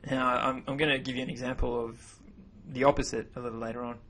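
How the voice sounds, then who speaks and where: A man whose first language is English, explaining grammar over slides in a relaxed voice-over, often repeating himself as he goes. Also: mid-range voice, fast talker. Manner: relaxed, self-correcting explanations. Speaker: language teacher. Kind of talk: narrated slideshow lesson.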